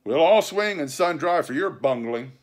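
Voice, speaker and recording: A male narrator puts on a lanky mutinous pirate from a storybook. He is blunt and accusing, pressing his case in rough sailor slang, with a high, very lively pitch. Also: moderate pace. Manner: blunt, insistent nautical slang.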